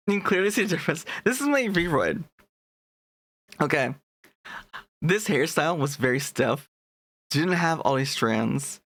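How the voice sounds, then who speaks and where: A young man with a fairly high, gravelly voice chats on a live stream, rambling and often restarting his sentences. The sound is close and dry, with no room echo. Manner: rambling, restarts sentences, greets chat warmly. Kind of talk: casual live-stream chat.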